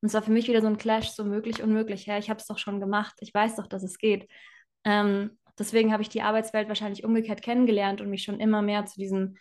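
A young woman who grew up bilingual, speaking as an interview guest in a relaxed, reflective way with frequent fillers and laughs. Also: mid-range voice, fast. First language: bilingual German and Spanish. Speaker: guest, self-employed in personal branding. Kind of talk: casual interview conversation.

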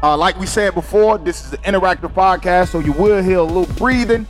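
A man hosting a fitness podcast, talking in an energetic, slangy, casual way with his co-host. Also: high voice, a little fast, soft background music. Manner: energetic, hype, slangy banter. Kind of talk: casual chat.

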